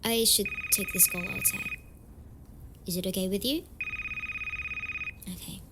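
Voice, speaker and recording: Casting: actress as tough young delinquent woman with a soft side, audio roleplay, fry-laden voice, noticeable noise behind. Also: medium pitch, a little slow, close to an everyday voice. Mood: flustered, affectionate. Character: tough tsundere delinquent young woman, tender lover, vengeful sister. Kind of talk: intimate romantic audio roleplay acting. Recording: noisy home recording, audible background noise.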